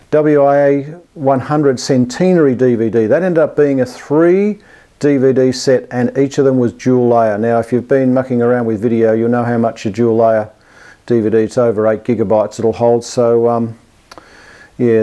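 Aussie guy in his 50s, soft mellow tone, chatting off the cuff to camera, kinda rambly and hesitant. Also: mid-range voice. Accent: Australian. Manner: hesitant, rambling, chuckling, self-deprecating. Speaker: amateur radio and television hobbyist presenting an update. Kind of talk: casual, unscripted video update to camera.

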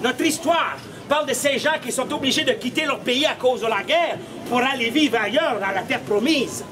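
A man's voice: very high-pitched, normal speed. A man, telling comic stories theatrically and mock-seriously as a street puppeteer. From Canada. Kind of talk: comic storytelling to a live audience. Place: outdoor cobbled street by a stone wall. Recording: outdoor live show, noisy crowd.

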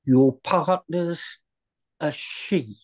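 A man's voice: fairly high pitch, extremely slow, a little mellow. A man reading the part of a stiff, disapproving upper-class father in a script read-through over a video call.

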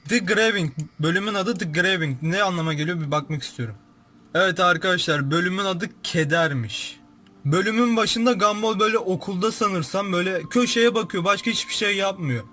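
A man's voice: high voice, brisk, sharp tone. A young man giving casual, informal, exclamatory commentary as a YouTube host, describing what he sees. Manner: informal, exclamatory, narrates what appears on screen.

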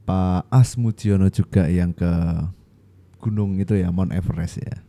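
A man's voice: low voice, steady tone, a little slow, dark tone. A man in his thirties giving casual commentary as a reaction video host, hesitating as he searches for words. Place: small home studio indoors. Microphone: close boom-arm microphone, headphones.

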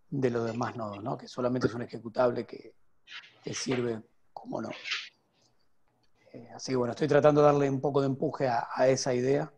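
Gravelly-voiced man on a video call. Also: medium pitch, a little mellow, slight background noise. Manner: hesitant, explains at length with many restarts. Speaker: guest developer. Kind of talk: casual video call interview. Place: home room with window. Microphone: earphone headset on video call.

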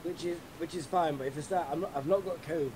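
Hoarse british man, tired voice, stop-start chat over a call line with crowd noise behind. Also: on the high side. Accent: British English. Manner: halting, many restarts and throat clearing. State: losing his voice, run down. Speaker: keynote speaker. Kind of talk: casual pre-talk chat on a video call.

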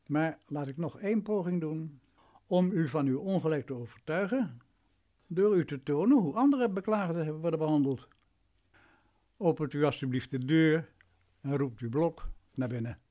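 An actor playing a self-important, domineering lawyer in a radio play, patronising and imperious. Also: male voice, on the high side, moderate pace, dark tone, lightly acted.

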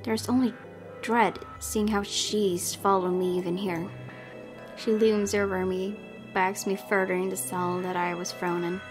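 A woman's voice: medium pitch, level tone, unhurried, music in the background. A woman let's player reading horror game narration aloud, with casual reactions of her own.

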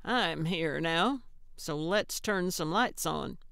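A woman's voice: low-pitched, expressive, takes it slowly, creaky, fairly bright tone, smooth, dry recording, studio quality, heavily acted. A woman voicing a brusque, cranky older woman in an audiobook, snappish and blunt but well-meaning. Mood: brusque, probing. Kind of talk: audiobook character acting.

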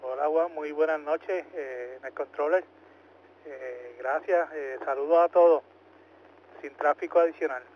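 A man's voice: on the high side, measured pace. A polite man checking in as an amateur operator over two-way radio. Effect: two-way radio transmission. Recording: muffled radio with loud static and clicks.

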